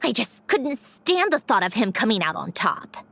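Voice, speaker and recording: Haughty, high-voiced game villainess. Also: female voice, sing-song, moderate pace, gravelly, fairly bright tone, exaggerated voice. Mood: wry, proud. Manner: dry, condescending, theatrical. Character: haughty, sardonic young female villain, proud and scheming. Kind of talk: video game character dialogue.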